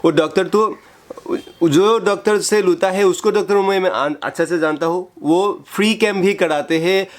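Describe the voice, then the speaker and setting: A man earnestly admonishing someone in a halting, repetitive way on a phone call. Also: sits high. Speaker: host confronting a scammer.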